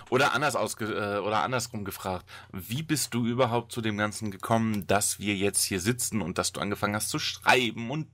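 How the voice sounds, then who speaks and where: Guy hosting a chatty podcast, lowish voice, really dry close sound, jokey and informal. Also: moderate pace, fairly bright tone, no room sound. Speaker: podcast host. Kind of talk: casual podcast moderation.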